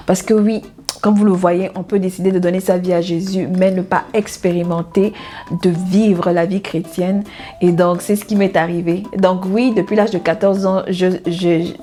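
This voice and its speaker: A woman's voice: medium pitch, warm tone. A woman in her thirties from Gabon, introducing herself in a casual faith vlog, cheerful, laughing often and saying amen. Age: 30s.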